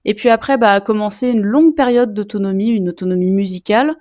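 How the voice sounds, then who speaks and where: A woman's voice: mid-range voice, quick pace, loud music behind the voice. A young woman, a mezzo-soprano student, telling a personal story to camera in a chatty way with lots of asides and fillers. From Sicilian heritage.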